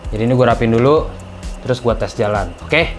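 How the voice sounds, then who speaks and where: A man's voice: medium pitch, brisk, soft background music. A man with a Jakarta Indonesian accent talks as a motovlogger in a casual, colloquial review style, explaining things as he goes. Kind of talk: casual product review vlog.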